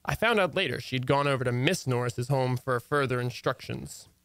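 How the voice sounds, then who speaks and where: Guy doing exasperated sitcom husband from old radio, deadpan wisecracks, dry close sound, normal pitch. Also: normal speed, no room sound, close to an everyday voice. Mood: wry narrating. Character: exasperated, wisecracking husband who narrates his own marital troubles. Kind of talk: old-time radio comedy acting and narration.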